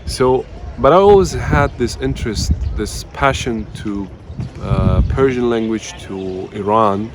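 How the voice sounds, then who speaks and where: A man's voice: lowish voice, slow, background noise. Hesitant man. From Uzbekistan. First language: Russian. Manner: hesitant, many fillers and restarts. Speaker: language teacher, channel host. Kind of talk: personal story to camera.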